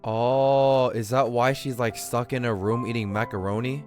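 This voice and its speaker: A young man doing casual, slangy, expressive live commentary as he streams a game. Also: mid-range voice, normal speed, music in the background.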